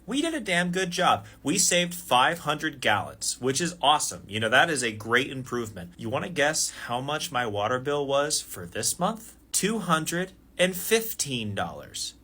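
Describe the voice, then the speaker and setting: A man's voice: mid-range voice, bright, forceful tone. A man on a personal rant, speaking in an exasperated, incredulous and sarcastic way.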